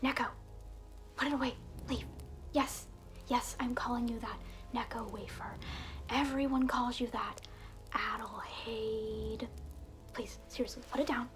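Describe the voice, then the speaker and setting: A woman voicing a snarky, theatrical evil alter ego who welcomes souls to hell in a breathy, whispered ASMR roleplay. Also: on the high side, steady tone, a little slow, pauses often, soft background music, natural voice. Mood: exasperated, bossy. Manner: sardonic, breathy, mock-courteous asides.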